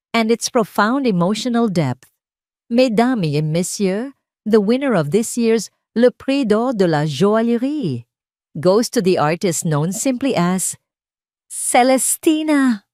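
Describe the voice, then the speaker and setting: A woman's voice: mid-range voice, darkish tone, no echo, studio quality, pitched above the performer's own voice. A woman voicing a formal, ceremonious male awards announcer in an audio drama. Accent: slight French.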